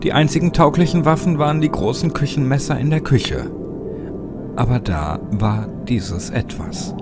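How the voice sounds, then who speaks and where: A man narrating a horror story as a dramatic audiobook, with a suspenseful, measured delivery. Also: mid-range voice, animated. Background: loud music under the voice.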